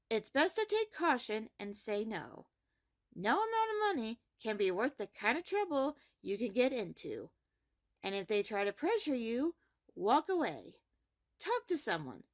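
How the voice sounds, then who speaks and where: A very high female voice with lively swings in pitch, a woman acting as a caring, orderly high school principal. She delivers measured morning announcements for a fantasy web series and speaks straight to her students. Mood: earnest, cautionary.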